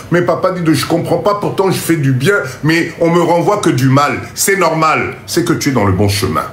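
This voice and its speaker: Congolese french guy w stuffy nose doing a motivational live, really animated n repeats himself, echoey room. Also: fairly high pitch. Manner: emphatic, repetitive, urging listeners. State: congested nose from a cold. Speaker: motivational live-stream host. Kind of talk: motivational live talk to viewers. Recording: roomy echo, faint background noise.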